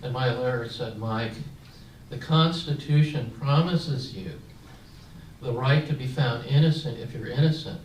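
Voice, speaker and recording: A man's voice: mid-range voice, a little slow, a little mellow. An older man who grew up in San Francisco, speaking as a veteran on a panel and telling his own story in a reflective way, with frequent fillers and restarts. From San Francisco, United States. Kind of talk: personal storytelling at a panel discussion. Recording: echoey, distant mic with room noise.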